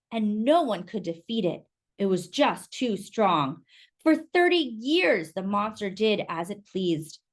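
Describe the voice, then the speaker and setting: A woman reading a children's picture book aloud with expressive, animated narration and quiet asides. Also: fairly high pitch. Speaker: storyteller.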